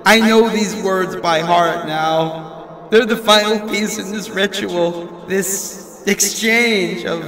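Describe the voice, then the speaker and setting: A man playing a doomed, haunted narrator recording his testimony in a horror audio drama, halting and distraught. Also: high-pitched, steady tone, fairly bright tone, exaggerated voice. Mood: unhinged, sorrowful. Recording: roomy, slightly distant voice with music.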